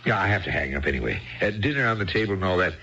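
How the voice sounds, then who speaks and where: An actor playing a bookish, self-absorbed husband with a pedantic, theatrical manner, in a 1970s radio drama style. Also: male voice, low-pitched, expressive, racing, pushed and bright, exaggerated voice. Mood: gleeful, fascinated. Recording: dull 1970s radio broadcast recording.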